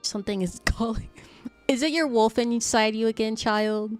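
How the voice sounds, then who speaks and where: A woman's voice: a little high, normal speed, soft background music. A woman game streamer giving casual, joking and rambling live commentary. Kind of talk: casual chatty livestream commentary.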